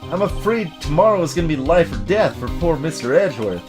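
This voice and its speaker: A man voicing a blunt, excitable, folksy middle-aged police detective in a video game. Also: on the high side, fairly quick, over music, close to an everyday voice. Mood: glum. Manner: folksy, blunt, keeps saying pal. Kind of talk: voiced video game dialogue.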